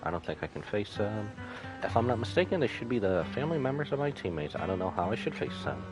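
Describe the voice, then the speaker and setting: A man's voice: fairly low pitch, sing-song, fast, low-quality recording. A man voicing a troubled, earnest young female soldier from a game, hesitant and apologetic. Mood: hesitant, anxious. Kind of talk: game dialogue read aloud by a streamer. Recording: music behind the voice.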